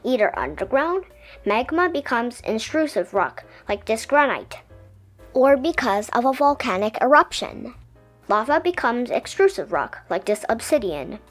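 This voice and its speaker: High, animated woman reading aloud. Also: a little slow, faint music behind. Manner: expressive, explanatory storytelling delivery. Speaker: picture book reader. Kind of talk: children's science book read-aloud, scripted narration.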